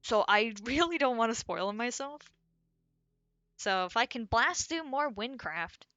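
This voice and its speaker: A woman's voice: fairly high pitch, sharp tone, no echo, muffled recording. A woman streamer chatting casually and thinking aloud while she draws, rambling and muttering to herself. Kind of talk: casual chat while drawing. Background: faint background music.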